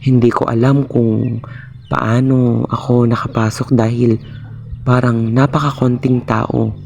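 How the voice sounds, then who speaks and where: A man's voice: mid-range voice, level tone, unhurried, creaky, darkish tone, a little hiss or hum. A young man with a Filipino accent playing a shy, insecure, lovestruck suitor in an amateur radio drama, speaking hesitantly. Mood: wistful, worried. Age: young adult. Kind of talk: amateur radio drama acting with narrated monologue.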